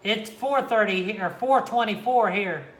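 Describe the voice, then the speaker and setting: A man's voice: high voice, normal speed, a bit bright. Laid-back man chatting on a livestream. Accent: Midwestern American. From Kansas, Oklahoma, Missouri area. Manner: laid-back, reads and answers live chat. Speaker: reptile channel host. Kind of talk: casual livestream chat with viewers. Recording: echoey room.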